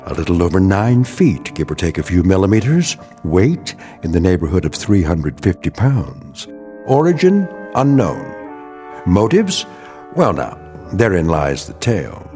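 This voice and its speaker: A man narrating an anthology audio drama as a wry, portentous storyteller, deliberate and dramatically paused. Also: on the low side, a little mellow. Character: wry, portentous male storyteller introducing a strange tale.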